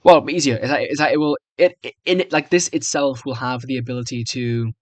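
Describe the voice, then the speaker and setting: A young adult man with a medium-pitched voice talks casually at his computer in a small, dry-sounding room. He rambles and hesitates, muttering his reactions to himself as he goes. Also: brisk, no room sound. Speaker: video creator. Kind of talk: casual screen-recorded software try-out commentary.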